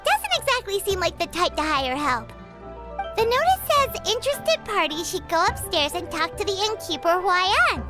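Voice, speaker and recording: Tiny fairy sidekick, very high squeaky childlike voice, bouncy delivery, perky, video game, loud music behind. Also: female voice, very animated, normal speed. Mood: curious. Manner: perky, speaks of self in third person. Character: tiny excitable female fairy companion, childlike, money-loving.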